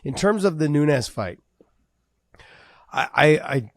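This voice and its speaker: A man co-hosting a casual MMA podcast, talking in an animated way and repeating words as he builds his points. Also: on the high side, slow, no echo. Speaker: podcast co-host.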